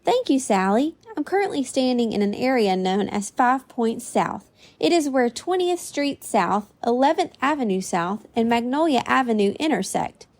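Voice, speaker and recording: A woman's voice: fairly high pitch, moderate pace. A woman playing a female AI voice assistant, speaking politely and evenly. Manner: polite, even, informative. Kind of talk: comedy podcast sketch.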